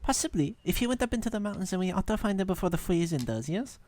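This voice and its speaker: A woman's voice: mid-range voice, lively intonation, fast, dark tone, natural voice. A woman voicing a poised, politely commanding snake-bodied adventurer in a tabletop game, with formal phrasing and questioning hums. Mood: purposeful.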